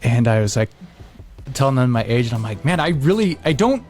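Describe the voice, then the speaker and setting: A man's voice: mid-range voice, very animated, a little fast, a little mellow, faint music behind. A man chatting casually as a podcast host, informal and emphatic, often repeating himself. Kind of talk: casual chat.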